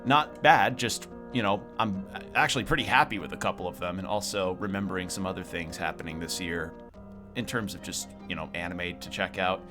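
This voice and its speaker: Low-voiced young man streaming, music behind. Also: fairly quick. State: slightly sniffly from a cold room. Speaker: game streamer. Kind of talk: casual livestream chat over gameplay. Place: own room at home.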